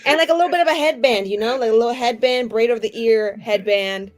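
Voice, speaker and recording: A woman's voice: a little high, fast. A woman playing a tabletop roleplaying game, chatting casually in a playful, informal way.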